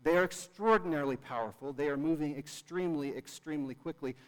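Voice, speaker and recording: An earnest male pastor delivers a sermon, often doubling his words for emphasis. His voice sits a bit higher than most men's. The sound carries the long reverb of a large church hall. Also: fast talker.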